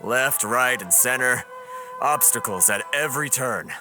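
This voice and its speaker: A man's voice: mid-range voice, lively intonation, a little slow, close to an everyday voice. A man voicing a weary, kindly merchant leader in a video game, grumbling yet courteous. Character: weary, beleaguered male merchant association head, kindly, aggrieved. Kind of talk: video game character voice acting. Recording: music behind the voice.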